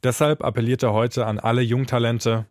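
A man's voice: mid-range voice, little pitch movement, a little fast, a little mellow, dry recording. A man narrating a scripted podcast as its host and reporter, speaking into a close microphone.

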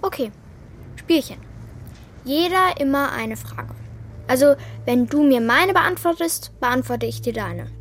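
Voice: sits high, expressive, a little slow, fairly bright tone, a little hiss or hum. A performer voicing a young girl in a children's radio play, direct, inquisitive and decisive in how she talks. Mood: playful, insistent.

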